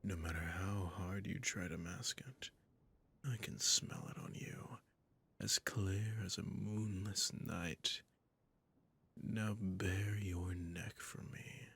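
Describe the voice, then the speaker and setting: Man acting as a slow, whispering, deep sadistic vampire. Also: pushed and bright, heavily acted. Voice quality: breathy, husky, often whispered. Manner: slow, purring, condescending. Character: sadistic, possessive male vampire master, coaxing and menacing. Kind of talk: intimate ASMR roleplay monologue.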